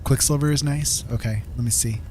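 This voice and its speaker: A man's voice: medium pitch, fairly even intonation, brisk, darkish tone, slight background noise. A middle-aged man livestreaming a game, chatting casually in a friendly, musing, polite way. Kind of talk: casual chat while gaming.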